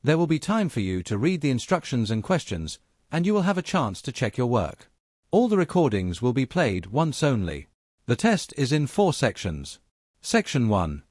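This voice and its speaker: Male narrator, formal scripted exam instructions, lively rise and fall, dry close sound. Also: mid-range voice, normal speed, dry recording.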